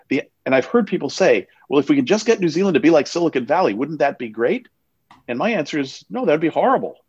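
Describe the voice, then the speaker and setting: Measured man on a dull dry call. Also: mid-range voice, darkish tone, slightly rough, no room sound. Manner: reflective, measured explainer with restarts. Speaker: investor. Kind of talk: conversational online panel talk.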